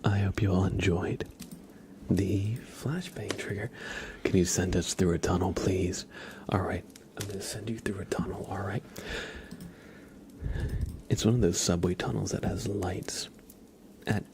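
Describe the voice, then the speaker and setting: A man softly whispering in an unhurried way as an ASMR streamer chatting to his viewers. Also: low voice, fairly even intonation, noisy background. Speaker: ASMR live streamer, host.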